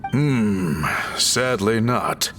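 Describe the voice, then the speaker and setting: A courteous scholarly chief, expressive delivery. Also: male voice, fairly low pitch, big-room sound, music in the background. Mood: thoughtful, courteous. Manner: measured, formal, deliberate phrasing with thoughtful pauses. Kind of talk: video game character dialogue.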